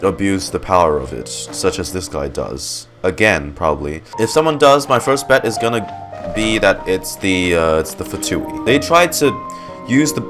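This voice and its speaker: A man commentates casually on a video game, thinking aloud in a rambling, halting way. His voice is fairly low but moves around a lot in pitch, and game music plays clearly in the background. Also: very animated.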